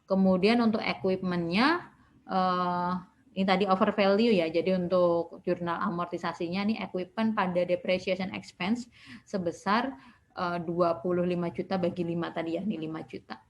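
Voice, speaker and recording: A woman's voice: lowish voice, fairly even intonation, moderate pace. A woman giving a recorded lecture as an accounting lecturer, explaining step by step in a didactic way with frequent short tag words.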